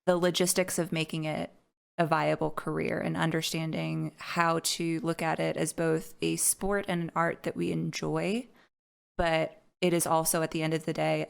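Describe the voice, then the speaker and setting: A woman hosting a podcast, with a fairly low voice that is smooth and resonant yet edged with vocal fry. She asks thoughtful, politely phrased questions. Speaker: podcast host. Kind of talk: interview questions.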